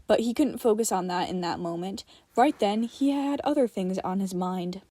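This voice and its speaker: A woman's voice: mid-range voice, moderate pace, warm tone, dry recording. A woman reading fiction aloud as a podfic narrator, in a dramatic storytelling style.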